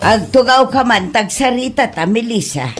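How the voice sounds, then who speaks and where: Woman acting a stern, high-voiced Ilocano mother. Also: expressive, moderate pace, over loud music, natural voice. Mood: scolding. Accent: Ilocano Filipino. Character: stern, disapproving mother, an auntie to others. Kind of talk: Ilocano comedy audio drama acting.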